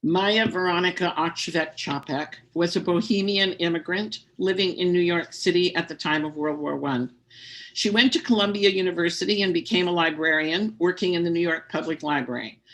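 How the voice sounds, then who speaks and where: A lay speaker reading a historical tribute aloud during a worship service, in a measured way with brief personal asides. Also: mid-range voice, fairly bright tone, poor audio.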